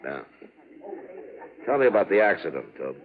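A man with an American accent playing a stern, watchful frontier marshal in a radio western, speaking in terse, measured lines. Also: low-pitched, very animated, phone-quality sound. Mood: probing, measured. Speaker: actor, lead in radio western. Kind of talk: 1950s radio western acting. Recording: band-limited 1950s radio recording with music.

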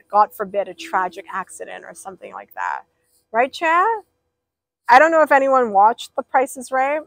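A woman's voice: mid-range voice, no echo, poor audio. A young woman livestreaming outdoors by a pool, rambling casually and reading out viewers' names. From Persian.

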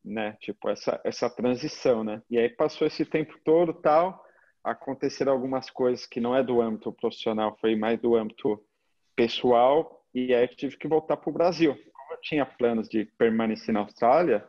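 A man's voice: medium pitch, normal speed. A man in his thirties with a São Paulo Brazilian accent, telling stories informally as an interview guest, with slangy phrasing and frequent tag questions. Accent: São Paulo Brazilian Portuguese. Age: 30s. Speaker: interview guest, agency founder. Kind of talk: casual anecdotal chat. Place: indoor room at home, video call. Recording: slightly dull video-call audio.

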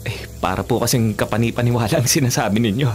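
An actor playing a polite, skeptical, easygoing young boyfriend in a Filipino radio drama. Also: male voice, medium pitch, fast talker, faint music behind, lightly acted. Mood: polite doubt.

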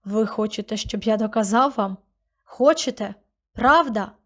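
A woman's voice: mid-range voice, measured pace, natural voice. A woman voicing a desperate young man, a stranger, pleading with a hostile crowd in anguished outbursts.

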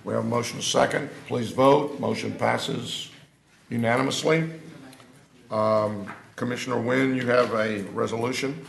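An older hoarse man with a Texan accent chairing a county meeting in a dry, joking, brisk manner. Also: medium pitch, steady tone. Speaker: presiding county judge. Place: large county courtroom, indoors. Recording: echoey room with faint noise.

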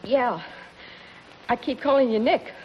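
An actress playing a bitter, desperate gangster's moll with a sardonic, clipped, dramatic delivery in a 1950s television crime melodrama. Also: female voice, fairly high pitch, unhurried, close to an everyday voice. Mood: cold, urgent. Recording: muffled, noisy 1950s television soundtrack.

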